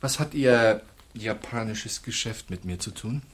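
A man's voice: fairly low pitch, a little slow. An actor in a German radio drama playing a cool, dryly ironic male arms dealer with a businesslike manner.

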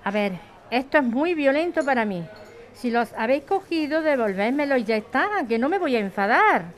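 High-pitched middle-aged Spanish mother played by a woman. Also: animated, moderate pace. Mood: stern, tense. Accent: Spain. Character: worried, firm mother running a bar, missing money. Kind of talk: acted audio drama.

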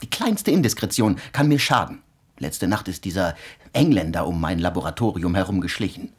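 An actor playing an irritable, secretive researcher in a radio play, husky-voiced, defensive and snappish. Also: male voice, deep voice, expressive, brisk. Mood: angry, defensive. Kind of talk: German radio play acting.

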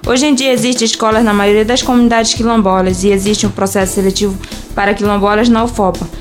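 A woman's voice: mid-range voice, steady tone, fast. A woman with a northern Brazilian accent working as a radio reporter, asking scripted interview questions. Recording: music behind the voice.

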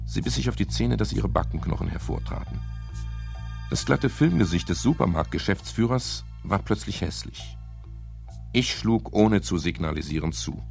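Low-voiced, wry private eye. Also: male voice, animated, vocal fry, a little mellow, soft background music. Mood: dryly ironic. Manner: dry, ironic, deliberate storytelling. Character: wry, hard-boiled British private detective narrating his case. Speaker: first-person narrator. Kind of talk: 1970s German radio crime drama narration.

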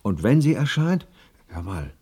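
A nervous, devoted widower in a play. His voice sits on the low side, and he speaks with solemn, hesitant insistence, touchy and full of grief. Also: sing-song, a little slow, a little mellow, slightly rough, heavily acted. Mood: solemn, insistent. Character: anxious grieving widower, solemn, touchy, devoted to dead wife. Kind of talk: radio play dialogue.

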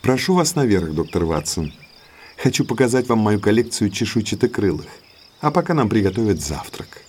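An actor playing a genial, bookish naturalist in an audio drama, courteous, talkative and polished. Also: male voice, fairly low pitch, big pitch swings, moderate pace, darkish tone, slightly rough. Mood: sociable, courteous. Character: genial bookish male naturalist neighbour, eagerly sociable.

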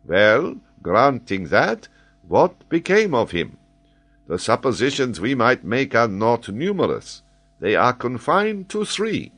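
A man voicing an excitable, learned French geographer, speaking eagerly and emphatically as he explains. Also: mid-range voice, takes it slowly, pitched above the performer's own voice, exaggerated voice. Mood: lecturing. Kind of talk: audiobook character voice.

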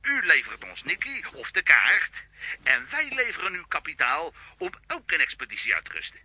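An actor playing a slick, hesitant crooked financier who talks politely over a telephone filter in a children's audio drama. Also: male voice, on the high side, huge pitch swings, moderate pace, pushed and bright, breathy edge, dry recording, exaggerated voice. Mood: oily, businesslike. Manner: hesitant, oily businesslike politeness.

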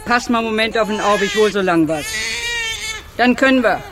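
An actress playing a practical country woman, brisk and matter-of-fact, in a radio play. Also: mid-range voice, music in the background, lightly acted. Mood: practical concern. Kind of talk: literary radio play acting. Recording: clear farmyard animal noise behind.

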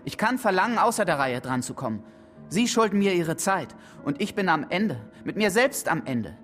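A man's voice: high voice, sing-song, moderate pace, a bit bright, faint music behind. An actor playing a desperate, ailing young man in a German radio drama, pleading and accusing with insistence. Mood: desperate, insistent.

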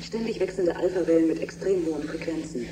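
An actress playing a brusque, wry ship's doctor in a German radio drama, speaking in a dry, matter-of-fact way. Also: female voice, low voice, fast, close to an everyday voice. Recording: clearly noisy radio drama ambience.

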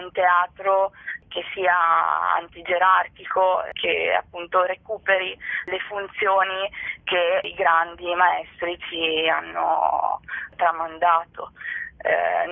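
A woman's voice: fairly low pitch, vocal fry, dry recording, narrow, tinny audio. A woman explaining things as the guest in an informal radio interview. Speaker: interviewee, theatre festival organiser.

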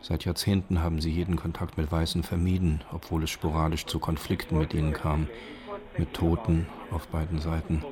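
A man's voice: a bass voice, flat delivery, fairly quick, a crowd in the background. A man giving a formal scripted reading of documentary reports. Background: clear music.

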